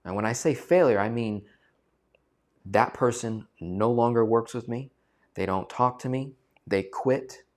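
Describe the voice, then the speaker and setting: Emphatic man, low-pitched talk. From United States. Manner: emphatic, repeats phrases for stress. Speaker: finance coach and channel host. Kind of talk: direct-to-camera talk.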